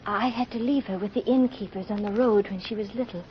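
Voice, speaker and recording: A woman's voice: medium pitch, fairly quick, close to an everyday voice. An actress playing a frail, sick young mother in old radio melodrama, trusting and emotional. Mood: joyful, wistful. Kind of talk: 1930s radio melodrama acting. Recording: muffled, hissy vintage radio recording.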